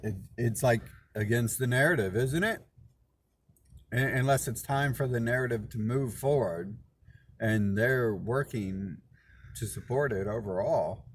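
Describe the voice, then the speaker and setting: A man's voice: medium pitch, slight background noise. A man hosting his own channel, talking in a loose rambling monologue, swearing often, restarting and repeating words, indignant and suspicious. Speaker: channel host.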